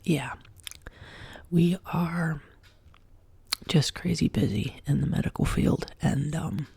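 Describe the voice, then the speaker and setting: A woman hosting a soft-spoken ASMR chat, sick and sounding lower than usual, drifting between quiet speech and whispers in her home studio. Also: steady tone, slow pace, vocal fry, breathy edge, dry recording. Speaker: ASMR channel host. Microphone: binaural desk microphone.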